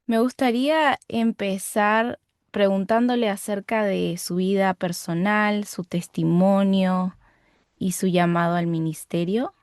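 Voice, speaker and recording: A woman hosting a podcast, reading a formal intro and asking interview questions with clear, measured delivery. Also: mid-range voice, steady tone, a little mellow, dry recording, clean studio sound. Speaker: podcast host. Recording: clear music behind the voice. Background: music bed.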